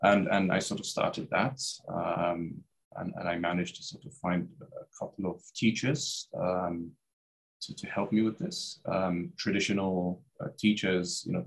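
A man's voice: sits low, gravelly, rough recording. A man in his thirties from Iraq, a scholar speaking in a video-call interview from a study with bookshelves, hesitant with many fillers and restarts. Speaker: guest scholar. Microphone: webcam on video call.

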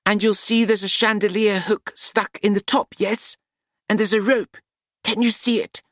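Male narrator as eager servant lad, high pitch, deferential, earnest, cheerful, storybook character, dry recording. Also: flat delivery, moderate pace, fairly bright tone, dry recording.

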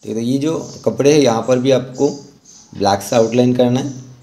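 A man narrating an informal step-by-step art tutorial as the instructor, speaking in a halting, instructive way. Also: medium pitch, mellow, slight background noise.

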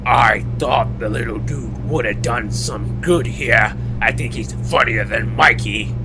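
A man as a creaky, bumbling henchman knight. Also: medium pitch, a little slow, lightly acted. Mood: cowed, servile. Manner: stammering, servile. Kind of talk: fantasy audio drama acting. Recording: music behind the voice.

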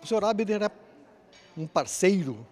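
A man in his fifties hosts the interview, holding a handheld mic in a large indoor event hall. His voice sits slightly high, and he builds long, conversational questions before handing over. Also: very animated, moderate pace, darkish tone, soft background music. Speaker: presenter.

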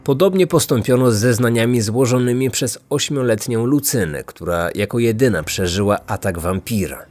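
A male podcast narrator with a mid-range voice reads a scripted true-crime account. Soft music plays behind him. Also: medium pitch, expressive, normal speed, few pauses. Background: faint music.

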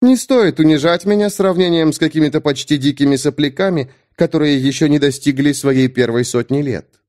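A man voicing a calm, condescending, centuries-old female vampire in an audiobook. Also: a little high, brisk, pitched above the performer's own voice, close to an everyday voice. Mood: lazily disdainful. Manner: calm, soft, lazily superior.

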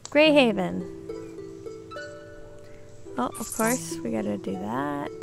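A woman's voice: on the high side, slow, gravelly, music in the background. A woman giving casual, chatty video game commentary, thinking aloud and exclaiming as she plays.

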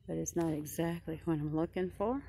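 A woman's voice: low voice, brisk, mellow, a little hiss or hum. A woman shopping vlogger giving casual running commentary as she browses a large furniture showroom.

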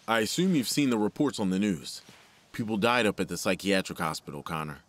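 A man's voice: fairly low pitch, lively intonation, a little fast, close to an everyday voice. An actor playing a worried, weary father in an audio drama, speaking in a blunt, plain-spoken way.

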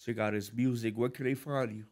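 A man in his thirties hosts from a podcast studio, talking into a boom mic at a mid-range pitch. He hypes and encourages his guest with quick, upbeat lines. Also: steady tone, a little mellow. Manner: hyping, encouraging. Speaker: podcast host. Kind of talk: casual interview talk. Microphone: studio mic on boom arm.

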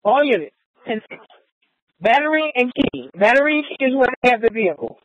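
A woman's voice: a little high, big pitch swings, brisk, dry recording. A woman working as a police dispatcher, relaying information over police radio. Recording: tinny, narrowband police radio scanner feed.

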